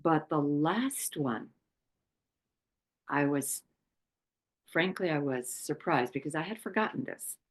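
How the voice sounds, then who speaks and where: A woman from Poland leads a talk on chakras. Her voice sits low and she takes her time, pausing often and trailing into fillers. She teaches informally and thinks aloud as she goes. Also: lively intonation. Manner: slow, halting, with many pauses and fillers. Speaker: chakra workshop presenter. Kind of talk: unscripted spiritual talk.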